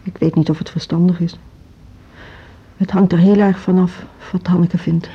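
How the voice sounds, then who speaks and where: An actress playing a fragile, doubting school director in a radio drama, hesitant and anxious. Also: female voice, lowish voice, flat delivery, moderate pace, breathy edge. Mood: anxious, doubtful. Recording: dull radio play recording.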